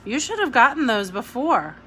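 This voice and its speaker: A respectful, slightly guarded young boy, voiced by a woman reader from a children's storybook. The pitch is lifted above ordinary narration. Noise sits on the recording. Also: very animated, normal speed, pushed and bright. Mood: plain. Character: guarded young boy, polite to his elders. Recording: noisy home recording.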